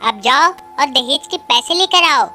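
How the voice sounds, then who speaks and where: A harsh cartoon bird mother-in-law, put on by a woman, greedy and bossy. Her voice is pitched extremely high and scolds imperiously over background music. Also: lively intonation, unhurried, sharp tone, heavily acted. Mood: angry scolding. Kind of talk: Hindi children's cartoon dialogue.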